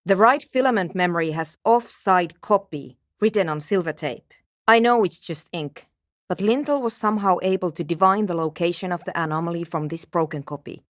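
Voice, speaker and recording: A woman's voice: lowish voice, brisk, no room sound, close to an everyday voice. An actress playing a curt, skeptical, world-weary woman programmer in a video game, speaking in a brusque, dry, dismissive way. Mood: terse, absorbed. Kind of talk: video game character dialogue.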